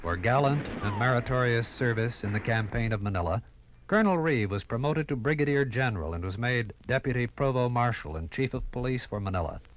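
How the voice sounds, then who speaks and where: A man narrating a 1950s radio history program in a measured, dramatic way. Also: mid-range voice, expressive, low-quality recording.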